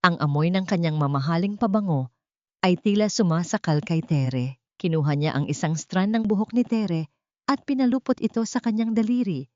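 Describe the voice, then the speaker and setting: A woman's voice: lowish voice, mellow. A woman narrating a scripted audiobook story in a dramatic style. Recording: echoey, slightly dull voice-over.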